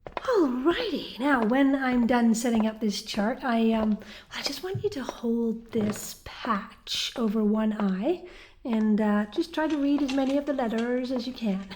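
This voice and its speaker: A kindly school nurse in an audio drama. Her voice sits high and very mellow. She is folksy and soothing, a little flustered, with halting phrases. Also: lively intonation, a little hiss or hum. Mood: chirpy, kindly.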